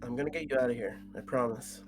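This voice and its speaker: A man's voice: mid-range voice, quick pace, lightly acted. A man voicing a tense, protective male wolf, an anxious boyfriend, in visual novel dialogue. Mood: reassuring. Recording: music playing behind. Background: guitar music.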